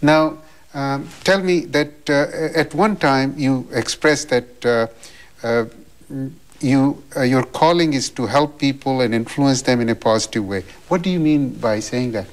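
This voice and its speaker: Courteous male interviewer. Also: mid-range voice. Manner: courteous, halting with fillers. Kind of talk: interview questions.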